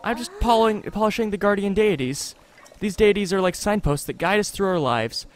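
A man's voice: high voice, expressive, normal speed, voice pushed up. Man reading as a shy, earnest young village woman. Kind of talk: reading game dialogue aloud. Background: game music.

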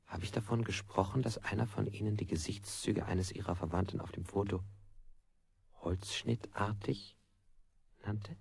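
An actor playing a bound prisoner under interrogation, reciting his account deliberately in a literary 1960s radio play style. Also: sits low, a little mellow, no room sound, exaggerated voice. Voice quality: husky, creaky.

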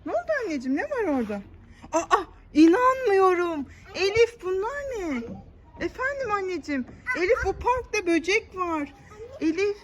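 A woman's voice: very high-pitched, big pitch swings, normal speed, slight background noise. A woman talking as a mother to her young child in playful casual chat, coaxing with short repeated prompts and questions. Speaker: mother hosting a family play video. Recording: music behind the voice. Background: background music.

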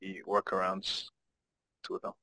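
A man's voice: low-pitched, monotone, moderate pace, sharp tone, low-quality recording. A man speaking as a student, putting a question in an online class over a video call.

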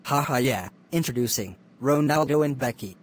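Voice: medium pitch, expressive, moderate pace. A cheerful performer voicing a musician at a cartoon contest.

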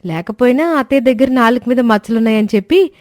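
A woman's voice: a little high, fast, a little mellow, close to an everyday voice. A woman playing an eager, boastful and scheming young daughter-in-law in a cartoon moral story. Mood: wondering.

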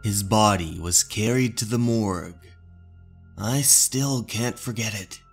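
A man narrating a scripted horror story as host, deliberate, with dramatic pauses. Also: lowish voice, creaky, husky, faint music behind.

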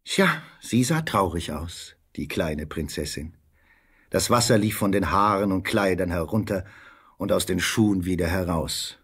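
Very husky male storyteller. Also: deep voice, animated, a little slow, a bit bright. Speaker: narrator. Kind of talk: scripted fairy-tale narration.

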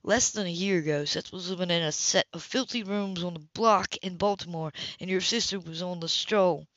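A woman's voice: fairly low pitch, moderate pace, fairly bright tone, dry recording, muffled recording, close to an everyday voice. A woman reading an audiobook, voicing a blunt, bitter young man who speaks in a hard, sarcastic, cutting way. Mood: cold.

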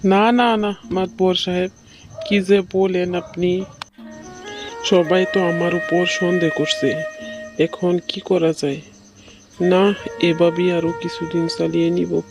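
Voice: medium pitch, little pitch movement, slow, pauses often, a little mellow, faint music behind. A performer voicing a poor, worried and greedy village milkman in a cartoon story. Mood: evasive, anxious.

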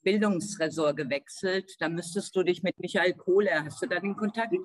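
A man chatting casually as an off-screen colleague, brisk and practical. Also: high-pitched, poor audio. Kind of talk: casual chat.

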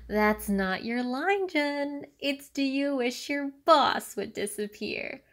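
A woman's voice: high voice, fairly bright tone, exaggerated voice. A woman playing an awkward, pleading co-star in an amateur radio-play commercial. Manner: halting, pleading.

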